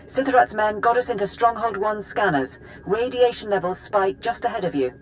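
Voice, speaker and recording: A woman playing a brisk, tense mission support operative in a video game, speaking in clipped phrases. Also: mid-range voice, slight background noise, natural voice. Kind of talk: video game cinematic acting.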